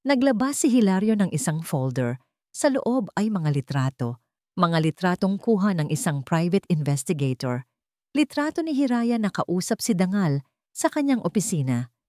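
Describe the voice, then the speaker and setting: Low-voiced Filipino woman narrating, close and dry. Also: sing-song, moderate pace, warm tone, no room sound. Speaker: story narrator. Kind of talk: scripted dramatic narration of a romance story.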